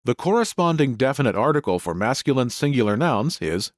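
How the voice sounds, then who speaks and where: An expressive male lesson narrator. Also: medium pitch, a little fast, no room sound, studio quality. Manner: clear, explanatory teacher delivery. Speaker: language course narrator. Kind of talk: scripted grammar lesson narration.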